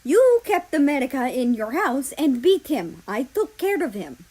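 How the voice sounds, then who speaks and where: A woman voicing a gruff, protective man in a romance story, speaking in a terse, earnest way. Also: sits high, big pitch swings, a little slow, a little mellow, pitched above the performer's own voice. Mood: angry, defensive.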